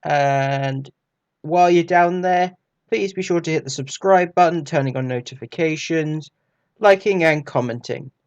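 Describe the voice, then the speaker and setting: A man's voice: fairly high pitch, unhurried, muffled recording. A man hosting a review channel, reading a scripted review.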